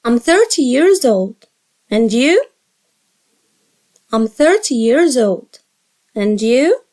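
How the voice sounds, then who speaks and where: A woman English teacher reading short dialogue lines slowly and carefully for learners to repeat after her. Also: fairly high pitch, sing-song, a little mellow. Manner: slow, carefully spaced drill reading.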